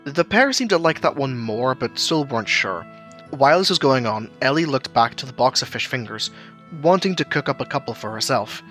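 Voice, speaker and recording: A man's voice: medium pitch, animated, brisk, a bit bright, soft background music. A man reading scripted narration for an audio drama as its storyteller.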